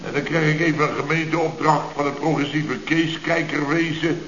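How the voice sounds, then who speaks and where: Blustering male contractor boss, played by an actor, exclamatory ranting, highish pitch, dull vintage radio sound. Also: fairly even intonation, moderate pace, a bit bright, lightly acted. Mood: exasperated. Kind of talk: radio comedy serial acting. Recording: dull, echoey old radio recording.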